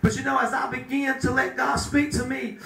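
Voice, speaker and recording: A man's voice: very high voice, little pitch movement, brisk, vocal fry, faint music behind. Male pastor, middle-aged, fiery sermon style; recorded from far off in an echoey room. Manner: preaching with rising shouts and call-and-response. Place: small chapel room with a pulpit. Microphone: handheld mic, filmed by a far camera.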